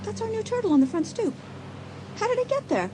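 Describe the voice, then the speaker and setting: A woman playing an excitable, anxious teenage girl in a dramatised audiobook, with expressive, exclamatory delivery. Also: very high-pitched, quick pace, background noise. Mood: alarmed. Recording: prominent music and sound effects behind.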